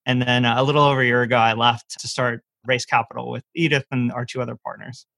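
Bright-voiced man, emphatic interview guest. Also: medium pitch, flat delivery, quick pace, vocal fry, no echo. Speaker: venture capital partner, interview guest. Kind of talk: conversational self-introduction and explanation.

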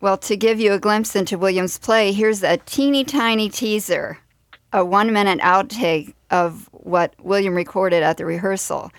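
A woman's voice: medium pitch, normal speed, no echo. Woman radio host, dry studio sound. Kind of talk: interview and show introduction.

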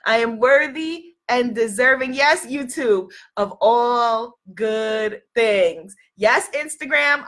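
A woman's voice: on the high side, a bit bright. A sniffly woman hosting a motivational livestream, encouraging and emphatic, repeating affirmations and phrases for effect. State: sniffly with a cold. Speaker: live-stream host, spiritual life coach.

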